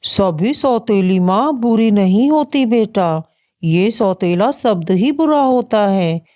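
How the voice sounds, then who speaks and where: Woman doing a kind dad bird, cartoon, dark soft tone, muffled recording. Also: medium pitch, lively intonation, slow pace. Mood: reassuring. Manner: soothing, gently reproving. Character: kind, reassuring father bird, calm and wise. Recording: dull, muffled lo-fi audio.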